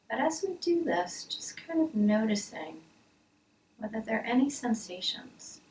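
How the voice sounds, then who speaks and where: Woman, meditation teacher, gentle measured delivery, breathy near-whisper endings, roomy echo, faint background noise. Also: lowish voice. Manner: soft, soothing, measured with pauses. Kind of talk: guided body scan meditation. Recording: roomy, distant mic, hissy background noise.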